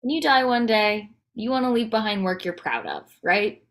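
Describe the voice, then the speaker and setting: A woman's voice: mid-range voice, lively intonation, normal speed, lightly acted. A woman at a script table read playing a candid, coaxing wife, direct and conversational. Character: candid, coaxing wife urging her husband toward his dreams.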